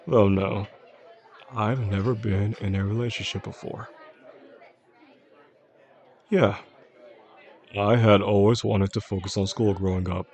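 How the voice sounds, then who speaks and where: A charming, quietly possessive boss acted by a man courts his employee in an intimate audio roleplay. His voice sits low with a pronounced fry, and he talks softly and attentively, without hurry. Faint restaurant chatter murmurs behind him.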